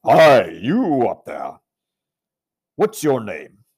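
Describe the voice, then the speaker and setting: A man voicing a noble, commanding male lion who speaks slowly and with authority. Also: fairly low pitch, sing-song, gravelly, darkish tone, breathy edge, heavily acted. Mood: calling.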